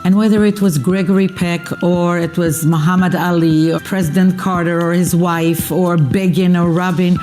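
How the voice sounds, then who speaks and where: A woman's voice: lowish voice, level tone, normal speed, few pauses, darkish tone. A woman narrating her own life story in a reflective tone. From Israel. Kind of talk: personal testimony narration. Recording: music underneath.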